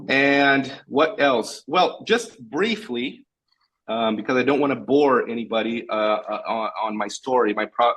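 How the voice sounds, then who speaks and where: A man's voice: medium pitch, fairly bright tone, slightly rough, low-quality recording. A Brazilian man whose first language is Portuguese, talking earnestly and plainly in English on a casual video call and often correcting himself. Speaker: guest presenting a sales case.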